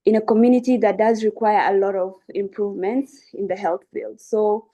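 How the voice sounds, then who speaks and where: Young woman, mellow creaky voice. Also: mid-range voice, steady tone, normal speed. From South Sudan. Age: young adult. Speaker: student webinar speaker. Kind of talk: informal educational presentation.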